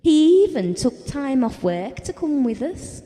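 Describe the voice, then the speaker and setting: An actress playing a gentle, devoted, self-doubting middle-aged British wife and mother, reminiscing softly in an audio drama. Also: high-pitched, sing-song, unhurried. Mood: tender, wistful. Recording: reverberant hall-like room.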